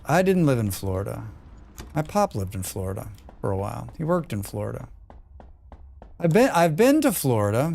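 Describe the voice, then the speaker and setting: A man's voice: mid-range voice, gravelly, mellow. A man with a scratchy voice, muttering and thinking aloud as he streams a game. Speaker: streamer playing a demo. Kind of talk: live gameplay commentary.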